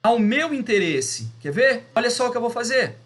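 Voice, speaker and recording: High-pitched, animated Brazilian male teacher. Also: normal speed. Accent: Brazilian Portuguese. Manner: didactic, emphatic, with rhetorical questions and check-ins. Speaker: biology teacher. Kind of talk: video lesson, explaining step by step.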